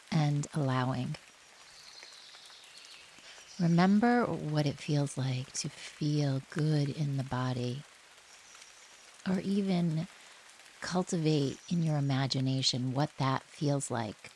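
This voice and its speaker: A woman guiding a relaxation meditation in a gentle, soothing manner, speaking in short phrases with long pauses. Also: sits low. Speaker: yoga nidra guide. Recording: steady rain noise behind the voice. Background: rain ambience.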